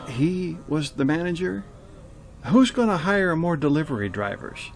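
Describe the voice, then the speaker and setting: Guy announcing for a radio theater show, gravelly and raspy, pitch moves around a lot. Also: very animated, measured pace. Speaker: announcer and host.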